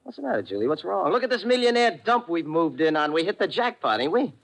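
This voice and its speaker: An actor playing a brash, cocky young gang boss in an old-time radio crime drama, with tough, slangy, bossy talk. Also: male voice, fairly high pitch, big pitch swings, quick pace, vocal fry. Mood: excited, irritated. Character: brash, cocky young gangster boss posing as a dead leader.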